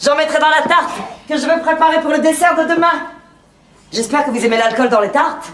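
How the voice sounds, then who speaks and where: Woman acting dotty chatty farce lady, really high voice, echoey and far from mic. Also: a little fast, fairly bright tone. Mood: airy, distracted. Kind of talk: theatrical French comedy acting. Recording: echoey stage, distant mic, faint noise.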